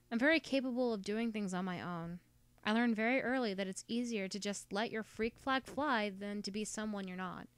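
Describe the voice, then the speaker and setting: Woman acting reflective young woman, clear-voiced. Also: mid-range voice, no room sound, close to an everyday voice. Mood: self-assured. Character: independent young woman, reflective and self-assured. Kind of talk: reflective drama monologue.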